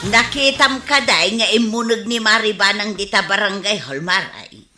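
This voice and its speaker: An actress playing a teasing village gossip who relishes scandal in an Ilocano comedy radio drama, insinuating, with an Ilocano accent. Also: female voice, mid-range voice, normal speed, echoey room, music in the background, close to an everyday voice. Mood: teasing amusement.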